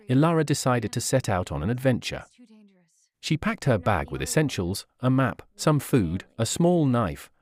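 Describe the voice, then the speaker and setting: A man's voice: mid-range voice, a little mellow. A man narrating a scripted fairy tale for children as a storyteller.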